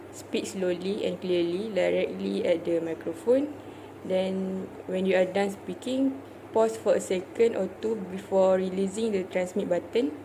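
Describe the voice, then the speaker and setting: A young Malaysian woman with a Malaysian accent reads a slide presentation step by step as a student presenter. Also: fairly low pitch. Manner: step-by-step, instructional. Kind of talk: slide presentation read aloud. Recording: noisy amateur voice recording.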